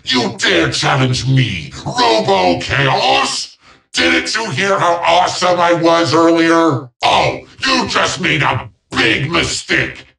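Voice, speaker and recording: A man's voice: fairly high pitch, animated, slow, gravelly, pushed and bright, echoey room, distant mic, heavily acted. A man voicing a boastful, childish robotic villain overlord in a video game, with grandiose, petulant delivery. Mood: taunting, boastful.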